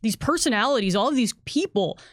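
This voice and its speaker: Woman, higher pitch, chatty game designer, conversational walkthrough, self-correcting, dry close sound. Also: expressive, fairly quick, dry recording. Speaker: game designer and editor, guest. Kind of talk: conversational product walkthrough for a livestream.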